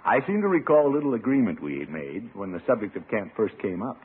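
A man's voice: on the low side, very animated, husky, narrow, tinny audio, exaggerated voice. A man playing a genial, pompous middle-aged uncle with an avuncular manner in a 1950s radio sitcom. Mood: pompous, probing. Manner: avuncular, drawn-out, self-satisfied. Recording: thin, hissy old radio recording.

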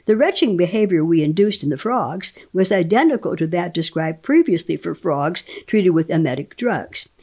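A woman reading a scientific paper aloud as a guest dramatic reader, enunciating technical terms deliberately. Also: on the low side, animated, a little mellow.

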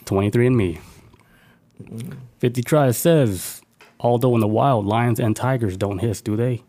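A man's voice: medium pitch, unhurried, mellow. An American man chatting casually as a podcast co-host, joking around in slangy, sweary language. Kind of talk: casual comedic chat.

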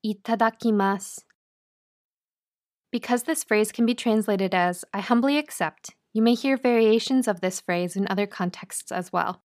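A woman hosting a podcast, talking clearly and breaking phrases down slowly like a teacher. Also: medium pitch, darkish tone. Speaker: podcast host. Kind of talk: scripted podcast intro and interview.

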